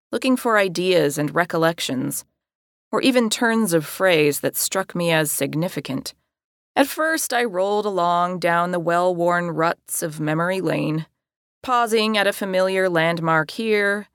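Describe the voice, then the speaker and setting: A woman reads a scripted audiobook. Her voice sits a little low, and her delivery is conversational and wry. The recording is fairly dry and close. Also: no echo. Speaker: author reading her own audiobook.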